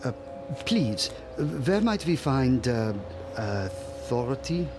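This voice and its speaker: Casting: a worried scientist, formal and hesitant, mid pitch with wide swings, radio play. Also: male voice, big pitch swings, takes it slowly. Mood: hesitant, polite. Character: earnest European scientist visitor, male, worried and apologetic. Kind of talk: vintage-style dramatic radio acting. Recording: music under the voice.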